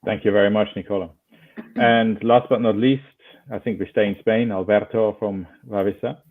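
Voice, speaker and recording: A man from Switzerland moderating an online panel over a video call, relaxed and often repeating words while he finds his phrasing. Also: fairly low pitch, moderate pace. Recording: tinny phone-like call audio.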